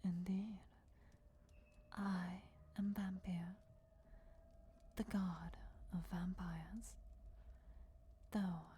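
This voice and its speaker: A woman voicing an ancient, regal and patient vampire deity in a soft, breathy whisper, formal and deliberate, for a fantasy ASMR roleplay. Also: fairly low pitch, little pitch movement. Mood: solemn, patient. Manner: slow, deliberate, formal, measured. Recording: clear background noise, crypt ambience. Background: crypt ambience.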